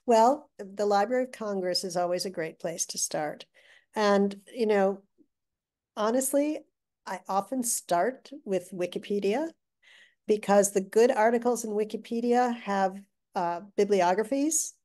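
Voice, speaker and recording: Older lady chatting on a webcam from her living room, mellow voice, keeps restarting sentences. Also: mid-range voice, unhurried. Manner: chatty, animated storytelling with restarts. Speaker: guest author. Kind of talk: casual interview chat. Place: living room with brick wall. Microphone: video call webcam.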